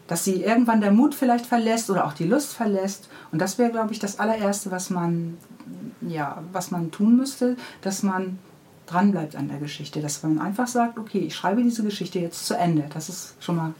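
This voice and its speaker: A woman's voice: mid-range voice, normal speed, warm tone, slight background noise. A woman who writes children's books, answering interview questions in an unscripted, conversational way. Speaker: children's book author being interviewed.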